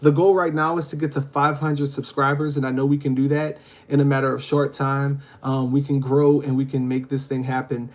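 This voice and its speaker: A man chats live to his viewers, his voice pitched in an ordinary middle range. He reads comments out loud and answers each one in turn. He talks casually, filling the pauses with ums and uhs. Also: flat delivery. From Louisiana. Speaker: livestream host. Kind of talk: casual livestream chat reading comments.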